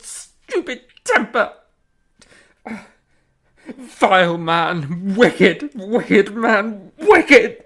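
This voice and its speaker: A woman's voice: mid-range voice, fairly bright tone, heavily acted. A woman voicing a remorseful, hot-tempered young male composer who stammers, pleads and lashes out at himself in a stage-play style. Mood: self-loathing. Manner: stammering, pleading, breaks into frantic self-scolding.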